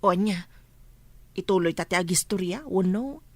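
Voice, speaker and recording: A woman's voice: on the low side, animated, moderate pace, no echo. A woman playing a nosy, chatty village friend who gossips, with an Ilocano accent, in a comedy radio drama. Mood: prodding.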